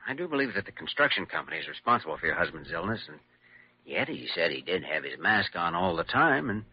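An actor playing an earnest, determined young hospital doctor in an old-time radio drama. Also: male voice, low voice, sing-song, quick pace, breathy edge, heavily acted. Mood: focused, clinical. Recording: dull vintage radio recording.

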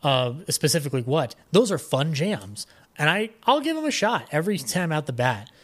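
A man's voice: fairly high pitch, sing-song, normal speed, a bit bright. A man talking casually as a podcast guest, rambling along with a fan's enthusiasm. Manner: rambling, enthusiastic fan talk. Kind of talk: casual interview chat.

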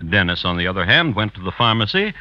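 A man's voice: fairly low pitch, sing-song, fast talker, pushed and bright. A man narrating a scripted 1950s radio drama in a dry, wry manner. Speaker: host and narrator. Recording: dull vintage radio recording with faint music.

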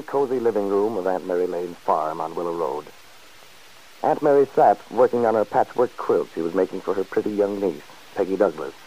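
A man narrating a radio serial, reading the story in a measured storyteller's way. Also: low voice, a little mellow, a little hiss or hum. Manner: smooth, measured storyteller's delivery. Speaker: announcer and narrator. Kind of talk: scripted narration. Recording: dull, muffled old radio recording.

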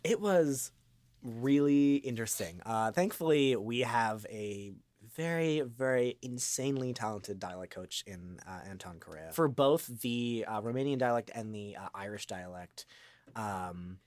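A man's voice: medium pitch, a little slow, a little mellow, dry recording. A man giving a casual interview as a voice actor, talking in a hesitant, rambling way and drifting into asides. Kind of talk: casual, unscripted interview chat.

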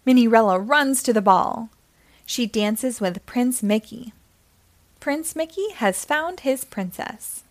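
A woman reading a story aloud to young children in an expressive, deliberate way with simple phrasing. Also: on the high side.

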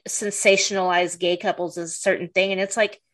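A woman's voice: lowish voice, level tone, brisk, a bit bright. A middle-aged woman hosting a podcast, chatting casually and restarting her sentences often. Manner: chatty, many restarts and "you know". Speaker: podcast host. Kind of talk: casual chat.

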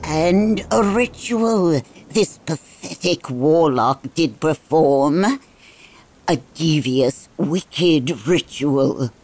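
Casting: ominous old female storyteller, fantasy audio drama. Deep for a woman, extremely unhurried, grandiose. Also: expressive, a little mellow, exaggerated voice.

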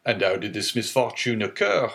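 A man's voice: fairly low pitch, big pitch swings, normal speed, creaky, fairly bright tone, heavily acted. A man voicing a middle-aged ship owner who questions eagerly and speaks pragmatically, in an audiobook reading. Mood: anxious. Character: middle-aged male ship owner, anxious then consoling.

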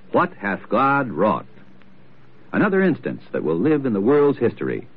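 Deep male radio narrator, tinny and husky. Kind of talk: scripted narration, 1940s radio. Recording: tinny old radio recording.

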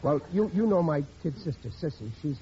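On a noisy, dull vintage radio recording, a man acts an excitable American sitcom everyman with a high voice. He blusters and stammers as an overprotective brother, suspicious and quick to take offense. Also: a crowd in the background, natural voice. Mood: worried. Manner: flustered, stammering, indignant. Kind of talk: old-time radio comedy acting.

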